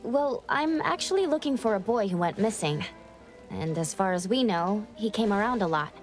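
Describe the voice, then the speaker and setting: A woman's voice: medium pitch, expressive, normal speed, faint music behind, natural voice. A woman voicing an earnest young female investigator in a video game, polite, thoughtful and a little hesitant. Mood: inquiring, polite.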